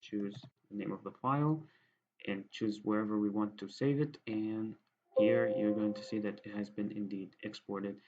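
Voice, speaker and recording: A man with an Egyptian accent explaining a software demo step by step in a plain, hesitant way, as a student programmer. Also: fairly low pitch, steady tone, normal speed, very noisy. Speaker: student programmer presenting a project. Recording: dull, lo-fi microphone sound.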